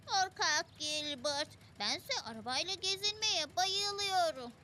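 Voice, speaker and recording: A performer voicing a sweet, curious four-year-old boy in a children's cartoon dub, earnest and expressive in a childlike way. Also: extremely high pitch, unhurried, slight background noise, heavily acted. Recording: music behind the voice.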